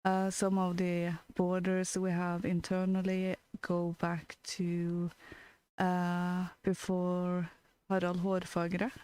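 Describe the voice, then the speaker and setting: A woman from Norway with a Norwegian accent, chatting casually and telling anecdotes in a halting, hesitant way as a co-host. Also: fairly low pitch, completely flat delivery, warm tone, smooth.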